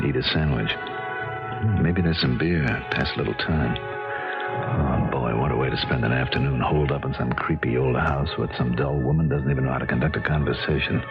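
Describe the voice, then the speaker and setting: An American actor playing a gruff, impatient, ordinary man in a 1970s radio drama, speaking in a blunt, slangy, offhand way. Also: a bass voice, moderate pace. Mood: bored inner grumbling. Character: gruff, impatient, ordinary suburban guy, privately dismissive. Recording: dull vintage radio recording with music.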